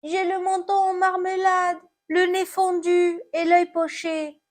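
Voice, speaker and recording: A woman voicing a battered apple from a children's poem, plaintive yet gently generous. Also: extremely high pitch, level tone, takes it slowly, pitched above the performer's own voice. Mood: plaintive, hurt.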